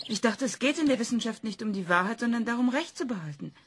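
Casting: eine Frau als kritische Interviewerin im Hörspiel, nüchtern und hartnäckig. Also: female voice, a little high, lively intonation, quick pace, slightly rough. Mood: probing. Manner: probing, skeptical questions. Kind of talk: radio drama acting.

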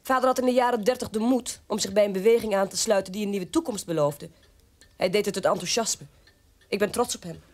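A woman's voice: medium pitch, a little fast, lightly acted. An actress playing a proud, defensive officer's daughter in her forties in a radio drama. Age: 40s.

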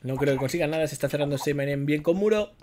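Brief: young male esports caster, lively analytical commentary, headset mic. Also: on the high side, very fast, slight background noise. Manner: analytical, lively, reacts to plays. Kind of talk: live game commentary.

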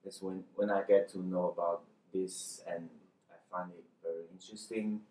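A young man, a PhD student introducing himself in a halting, shy way. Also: low-pitched, fairly even intonation, warm tone, slight background noise. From China. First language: Chinese. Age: young adult. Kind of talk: casual self-introduction. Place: conference room. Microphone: distant camera mic. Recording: roomy, distant mic.

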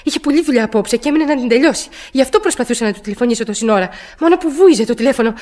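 An actress in a radio drama playing an anxious, querulous bedridden wife, agitated, hesitant and insistent. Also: female voice, a little high, lively intonation, a little mellow, close to an everyday voice. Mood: anxious, flustered.

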